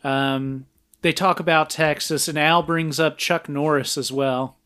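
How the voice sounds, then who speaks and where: A man's voice: on the high side, fairly even intonation, a little slow, fairly bright tone, smooth. A young man co-hosting a podcast, recapping a film scene by scene and laughing often at his co-host. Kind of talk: casual chat and film recap.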